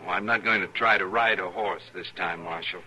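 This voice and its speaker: An actor playing a naive, stubborn little scholar in an old-time radio western, speaking politely, formally and precisely. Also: male voice, sits low, steady tone, brisk, pushed and bright, rough recording. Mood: stubborn, assured.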